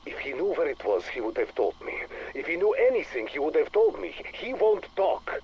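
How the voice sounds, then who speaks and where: A man's voice: a little high, very animated, moderate pace, husky, dull audio, full character voice. An actor playing an exhausted henchman at breaking point, weary, bitter and insistent, in a game audio log. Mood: exasperated.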